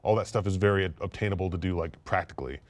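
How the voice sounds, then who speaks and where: A man's voice: sits low, quick pace, no room sound. A man talking informally as a panelist on a casual discussion show. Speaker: panelist on a video game and movie discussion show. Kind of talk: casual chat.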